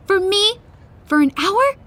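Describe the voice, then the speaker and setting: An actress playing an upbeat, pleading friend, coaxing and expressive in a naturalistic audio drama. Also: female voice, extremely high pitch, slow pace, full character voice. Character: pleading, upbeat woman friend dragging someone along.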